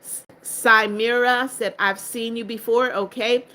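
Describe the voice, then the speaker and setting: An older woman hosting a live stream, greeting viewers one by one as she reads their comments. Her voice is bright and clear, and she turns firm and strict when warning people who spam her chat. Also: fairly high pitch, steady tone, measured pace. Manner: strict, firm about blocking spammers. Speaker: livestream host.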